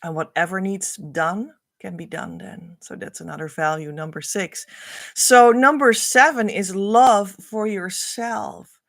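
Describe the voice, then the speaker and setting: A woman whose first language is Dutch, speaking English with a Dutch accent, teaches spiritual values on a livestream in an earnest, repetitive, flowing way with soft trailing phrase ends. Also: mid-range voice, unhurried, dry recording, clean studio sound. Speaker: spiritual coach.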